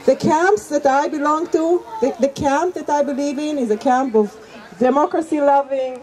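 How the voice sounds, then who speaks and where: A woman's voice: sits high, normal speed, darkish tone. A woman from Israel, a Hebrew speaker, speaking earnestly and emphatically as an activist in an outdoor street debate. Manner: earnest, emphatic, repeats points for weight. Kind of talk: impassioned street debate speech.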